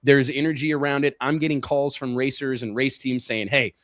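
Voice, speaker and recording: A man's voice: medium pitch, steady tone, brisk, fairly bright tone, no echo. A man hosting a casual racing talk show, stressing points by repeating words. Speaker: show host. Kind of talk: casual podcast chat.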